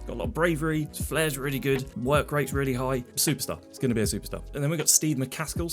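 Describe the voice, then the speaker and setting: A man with a stuffy, cold-blocked voice, casually talking through his football management game, chatty and self-deprecating, often trailing off mid-sentence. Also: mid-range voice. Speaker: game commentator. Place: home desk setup.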